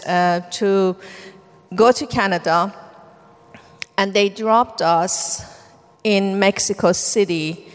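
A middle-aged woman with a Persian accent speaks at a medium pitch, telling her life story in an earnest, unhurried way with frequent "um"s. Her voice rings with the long reverb of a large hall. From Iran. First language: Farsi. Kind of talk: personal testimony talk.

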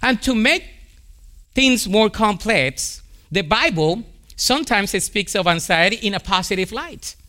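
A man's voice: sits high, lively intonation, unhurried, sharp tone. A man with a Colombian accent preaching a sermon, emphatic and repeating key lines for emphasis. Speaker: pastor.

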